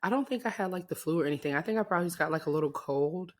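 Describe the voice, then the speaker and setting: Low, hoarse woman podcast co-host. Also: expressive, racing, darkish tone, slight background noise. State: hoarse from a cold. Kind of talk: casual chat.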